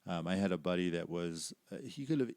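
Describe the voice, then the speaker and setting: A male podcast host with a loud voice, chatting eagerly and sharing his own stories with his guest. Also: sits low, animated, a little fast, vocal fry, no echo. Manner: loud, chatty, eager, affirms often with "yeah". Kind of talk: casual interview chat.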